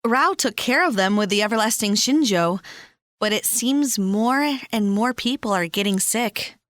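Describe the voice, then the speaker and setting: A woman's voice: mid-range voice, animated, a little slow, a bit bright, no room sound, clean studio sound. A woman playing a laid-back traveler confiding in a friend, in a casual, conversational audio drama style. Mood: quietly concerned.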